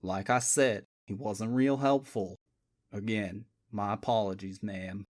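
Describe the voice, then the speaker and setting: Guy doing a low slow tidewater drawl detective, calm and icy, dry close sound. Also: dry recording. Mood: apologetic. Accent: Tidewater Virginia drawl. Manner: slow, matter-of-fact, low and cool. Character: composed slow-drawling Southern male detective.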